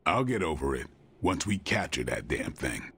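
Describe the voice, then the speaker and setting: An actor playing a brusque, dogged, tough monster hunter in a video game, curt and sardonic. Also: male voice, very deep voice, very animated, normal speed, fairly bright tone, slightly rough, no room sound, exaggerated voice. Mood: brusque, wry. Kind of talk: video game cutscene acting.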